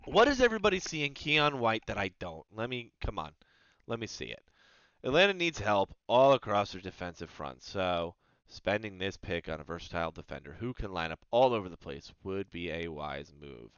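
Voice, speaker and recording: A man hosting his own sports video, reading mock draft picks aloud and reacting to them in casual, animated commentary. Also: deep voice, clear, resonant tone, no echo, dull audio. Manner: animated, reads passages aloud then reacts with quick asides.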